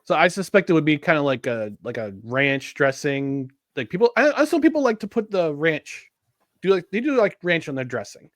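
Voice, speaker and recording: A young American man talks loosely on a podcast, his voice sitting a touch higher than most men's. He rambles, corrects himself and laughs at his own jokes. The sound is dry and close, with no room around it. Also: very animated, a little fast, no echo. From United States. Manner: rambling, self-deprecating, laughs often. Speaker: podcast co-host. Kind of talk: casual podcast chat. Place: upstate New York.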